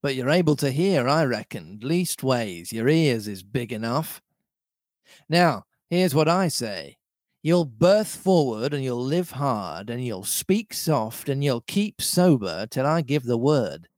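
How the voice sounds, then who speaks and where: A man's voice: medium pitch, sing-song, slow pace, darkish tone, dry recording, clean studio sound. Man acting a scheming, domineering pirate sea cook, Long John Silver. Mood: commanding, sly. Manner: rustic old seafaring dialect, emphatic and speechifying.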